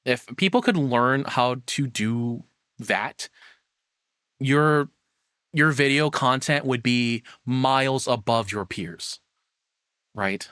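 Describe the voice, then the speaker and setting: A man's voice: medium pitch, slow pace, long pauses, a bit bright, smooth, no room sound, studio quality. A man streaming a casual, opinionated rant, rambling and self-deprecating as he chats with viewers. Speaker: streamer and VTuber host.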